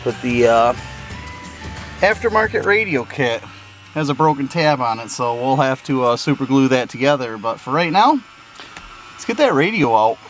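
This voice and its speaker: A man's voice: mid-range voice, lively intonation, moderate pace, soft background music. A man giving a casual, folksy hands-on walkthrough to camera as a car hobbyist, thinking aloud as he works with some hesitation.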